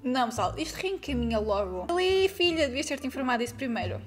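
A young woman in her twenties with a European Portuguese accent talks over her old clip with teasing, animated asides. Her voice sits high and light, with faint music playing underneath. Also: fast. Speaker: beauty vlogger. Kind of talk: reaction commentary on an old video. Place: indoor studio with pink backdrop.

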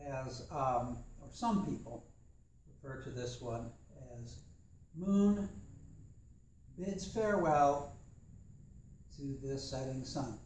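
Man teaching tai chi, relaxed and chatty, slow, heavy echo from far off in a big dojo. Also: a little high, a little hiss or hum. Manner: relaxed, thoughtful, chatty with fillers. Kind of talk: teaching a class while demonstrating. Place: large empty dojo with mat floor. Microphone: far camera. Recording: echoey room from a distance.

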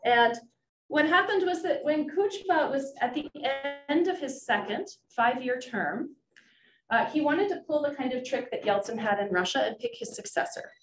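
A woman's voice: sits high, lively intonation, normal speed, soft background music. Woman lecturing in an echoey room. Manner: frequent ums and uhs, restarts, explanatory. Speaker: political science professor. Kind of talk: academic lecture on a slideshow. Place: lecture room with projector screen. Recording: echoey room, faint background noise.

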